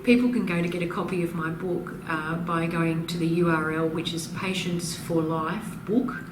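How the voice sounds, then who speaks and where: Low-voiced woman explaining in an echoey room. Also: steady tone, moderate pace. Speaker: practice consultant and author being interviewed. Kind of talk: explanatory interview talk. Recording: roomy, distant mic, noisy background.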